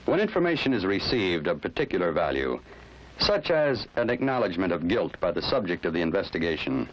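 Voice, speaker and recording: American male narrator for instructional film, lower pitch, varied intonation, formal and didactic. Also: low-quality recording.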